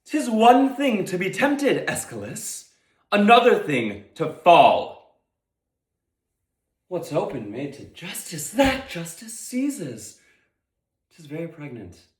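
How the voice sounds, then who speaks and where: A man playing a stern, pompous deputy ruler in a declamatory, deliberate Shakespearean stage monologue. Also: high voice, wildly swooping pitch, full character voice. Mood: lofty, reasoning. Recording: echoey room.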